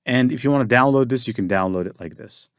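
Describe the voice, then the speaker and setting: A man giving a casual software tutorial, explaining step by step with frequent fillers and restarts. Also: medium pitch, fast, clean tone, dry recording, clean studio sound. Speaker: tutorial instructor.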